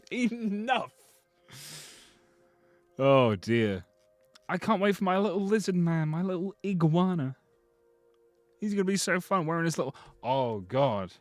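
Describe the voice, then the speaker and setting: A man with a slightly hoarse voice, rambling and joking freely as he chats through a livestream. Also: sits high, animated, unhurried, long pauses, no echo, faint music behind. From Britain. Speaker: streamer. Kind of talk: casual livestream commentary.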